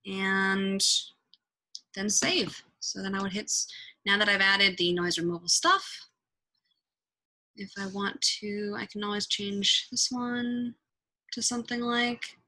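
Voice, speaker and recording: A woman giving a casual, improvised software tutorial, thinking out loud and swearing offhandedly as she goes. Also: medium pitch, poor audio. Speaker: tutorial presenter.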